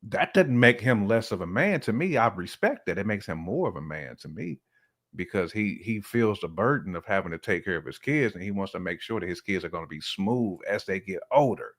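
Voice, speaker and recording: Low-voiced man in casual, reflective discussion. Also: lively intonation, fairly quick. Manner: reflective, explanatory, colloquial. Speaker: podcast guest.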